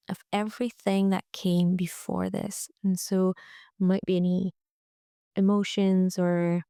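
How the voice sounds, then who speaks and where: A woman leading a guided relaxation meditation in a gentle, soothing, unhurried way, with frequent pauses. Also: lowish voice, vocal fry, clean tone, no echo, clean studio sound. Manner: gentle, soothing, pausing often. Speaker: yoga nidra guide.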